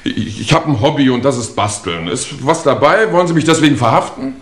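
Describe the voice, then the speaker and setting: A man acts a defensive gentleman nephew in a vintage radio mystery. His mid-pitched voice jumps about animatedly, agitated and a little flippant. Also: animated, fairly quick, close to an everyday voice. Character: nervous, defensive upper-class nephew and hobby tinkerer. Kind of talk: 1950s German radio crime play acting.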